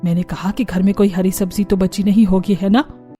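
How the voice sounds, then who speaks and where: A woman's voice: mid-range voice, flat delivery, moderate pace, dark tone, faint music behind, close to an everyday voice. A woman voicing a stern, bossy older mother-in-law in a cartoon story, scolding and commanding. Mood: probing.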